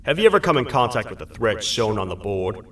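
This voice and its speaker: An actor playing a massive, gruff toad sheriff who talks like a stern frontier lawman. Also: on the low side, very animated, brisk, fairly bright tone. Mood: interrogating. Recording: echoey game audio from a distance, light music.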